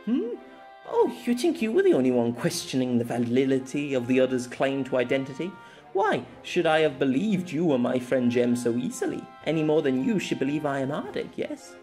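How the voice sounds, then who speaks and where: A man voicing a confident, playful young noblewoman swordfighter in an audio story, soft-spoken but commanding, with grand archaic phrasing. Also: mid-range voice, big pitch swings, brisk, faint music behind, close to an everyday voice. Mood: teasing, confident.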